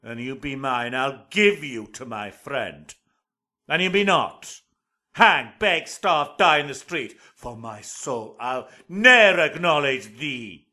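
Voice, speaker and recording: A man's voice: mid-range voice, lively intonation, slow pace, pushed and bright, exaggerated voice. An actor playing a domineering, wrathful father of a noble family in a theatrical Shakespearean radio drama. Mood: furious. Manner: theatrical, declaiming, explosive.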